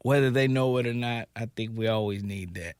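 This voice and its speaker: A man's voice: mid-range voice, fairly quick, clean tone, dry recording. A man hosting a casual interview, easygoing and affirming. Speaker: interview host.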